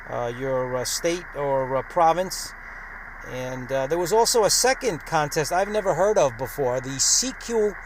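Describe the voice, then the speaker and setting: A man, presenting an amateur radio vlog in an explanatory way. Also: on the high side. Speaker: host of an amateur radio channel. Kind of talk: vlog narration with radio call-sign operating. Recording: clearly noisy recording.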